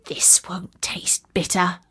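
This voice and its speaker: A woman's voice: takes it slowly, bright, forceful tone, whispered. A woman voicing a cheerful, boastful little male tailor from a storybook, with theatrical, self-important delivery. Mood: pleased.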